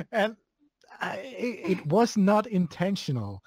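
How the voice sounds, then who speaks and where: A man from Sweden with a Swedish accent, chatting casually as an interview guest, relaxed, with many fillers and chuckles. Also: high voice, takes it slowly, husky, no room sound, clean studio sound. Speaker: video game composer, guest.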